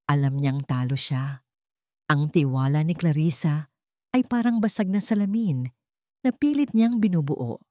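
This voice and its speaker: A woman's voice: low-pitched, a little slow, long pauses, mellow, no echo, studio quality. A woman narrating a scripted dramatic story as a storyteller.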